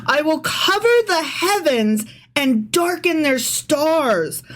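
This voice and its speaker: Higher-pitched, irreverent woman podcaster. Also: lively intonation, slow pace, fairly bright tone. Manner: irreverent, sarcastic, sweary. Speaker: podcast co-host reading scripture aloud. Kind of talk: casual chat with dramatic read-aloud and commentary.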